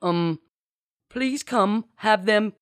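A woman voicing a flustered young woman who clumsily imitates a male sea captain, halting and awkward with forced authority. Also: medium pitch, no echo, clean studio sound, pitched above the performer's own voice.

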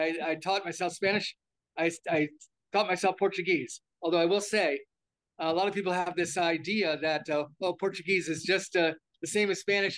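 An older American man with a high-pitched voice, chatting through an interview. He rambles, stumbling over repeated words and restarting his sentences. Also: a bit bright, poor audio. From United States. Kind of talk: casual interview talk.

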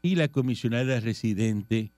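A man's voice: mid-range voice, normal speed, darkish tone, dry recording. A Puerto Rican man hosting a casual radio talk show, reading political news and commenting on it in conversation. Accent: Puerto Rican Spanish. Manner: reads news items and comments on them conversationally.

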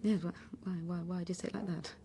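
A woman playing an anxious suburban mother in a radio drama, with a British accent and halting, repetitive, urgent speech. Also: on the low side, fairly even intonation, dark tone, a little hiss or hum. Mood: anxious, insistent. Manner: halting, breathy, repeats words urgently. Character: anxious suburban mother of twins, increasingly panicked.

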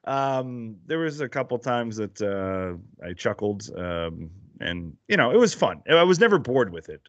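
Podcast guest, American man, casual and rambling, very animated intonation. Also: mid-range voice, unhurried, no room sound, lo-fi sound. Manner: rambling, lots of fillers and restarts, laughs easily.

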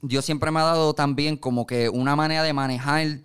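Clear-voiced Puerto Rican man telling stories. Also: fairly high pitch, fairly even intonation, a little fast, a bit bright. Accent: Puerto Rican Spanish. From Puerto Rico. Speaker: music producer, interview guest. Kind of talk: casual conversational storytelling.

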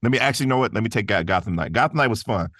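A male podcast guest with a low, deep voice, chatting casually. He sounds easygoing and thinks aloud as he goes, recorded dry and without room sound. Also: expressive, rapid-fire, fairly bright tone, no echo. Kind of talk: casual chat.

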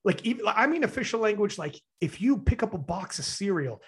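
A Canadian man in casual chat, animated, laughing often and filling his talk with "like". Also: sits high, a little fast, breathy edge.

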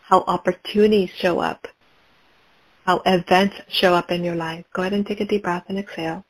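A woman leading a guided meditation, speaking in slow, calm, repetitive prompts. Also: fairly low pitch, low-quality recording.

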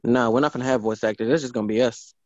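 A man's voice: medium pitch, fairly even intonation, darkish tone, no room sound. A man talking casually and rambling about plans for a show. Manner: rapid, rambling casual talk. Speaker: co-host, show creator. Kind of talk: casual chat. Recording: dull lo-fi sound.

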